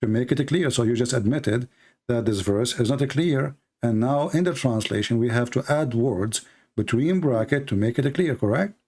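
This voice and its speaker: A man's voice: medium pitch, moderate pace, darkish tone, husky. A man with an Arabic accent and Arabic as his first language, debating religion insistently with challenging questions and repeated points. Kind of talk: argumentative live religious debate.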